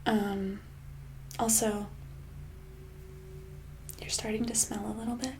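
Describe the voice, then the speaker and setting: A woman playing a smug, sinister boss in a soft-spoken ASMR roleplay, casual and condescending, with a breathy voice that often slips into a whisper. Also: mid-range voice, level tone, noisy background. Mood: mock-sympathetic, menacing. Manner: casual, condescending, falsely sweet, hesitant pauses. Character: smug, sinister female boss sweetly holding an employee hostage.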